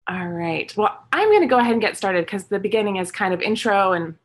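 A middle-aged woman hosting a children's Arabic storytime from home over a webcam, cheerful and encouraging, slowly repeating words for the kids. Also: mid-range voice, lively intonation. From born and raised in English-speaking countries, Palestinian roots. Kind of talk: teaching numbers to young children over a video stream. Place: home room with shelves of toys and books. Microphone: webcam at close range, video call.

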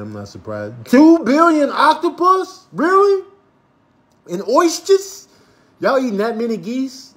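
A man doing improvised comic voiceover commentary, full of slangy, exclamatory trash talk. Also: sits high. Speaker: voiceover comedian.

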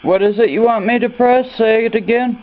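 Adult male taking a phone call, high-pitched voice, very thin phone-like sound. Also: a little fast, a little hiss or hum. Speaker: person answering a suspicious phone call. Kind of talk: phone conversation. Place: indoors at a home desk.

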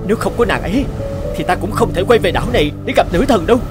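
A man's voice: high voice, animated, fast talker, over music. A man voicing an earnest, firm young island chieftain in a cartoon.

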